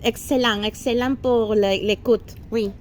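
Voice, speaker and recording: A woman's voice: fairly high pitch, fairly even intonation, a little slow, a little mellow, slight background noise. A woman student in a language workshop, chatting and explaining things to a neighbour. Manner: chatty, switches into Spanish to explain. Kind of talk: casual group chat.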